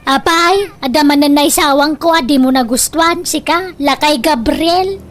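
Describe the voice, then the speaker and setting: High-pitched, scolding old Filipino mother played by an actress. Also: moderate pace, slight background noise, natural voice. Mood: scornful. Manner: scolding, dismissive. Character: stubborn, meddling old mother who indulges her son. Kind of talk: radio drama acting.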